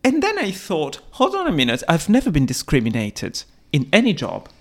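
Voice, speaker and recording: A man who speaks English as a second language, voice sitting a little high for a man. He talks emphatically in casual discussion, often restarting his sentences. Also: wildly swooping pitch, normal speed. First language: not English. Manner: emphatic, restarts often, laughs while talking. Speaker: podcast co-host. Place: London.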